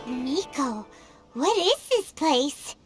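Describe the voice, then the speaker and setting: A woman voicing a tiny, childlike, excitable and timid fairy companion in a video game, with a squeaky voice. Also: very slow, heavily acted. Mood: nervous. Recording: music behind the voice.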